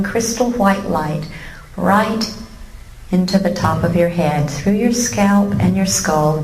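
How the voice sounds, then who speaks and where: Female meditation guide, very slow pace, calm and gentle, long pauses, roomy live recording. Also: mid-range voice, slight background noise. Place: room with a seated live audience.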